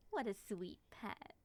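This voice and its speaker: A woman playing an excitable, affectionate moth monster girl in an ASMR roleplay, gushing and sweetly possessive. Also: fairly high pitch, dry recording. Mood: cooing, eager.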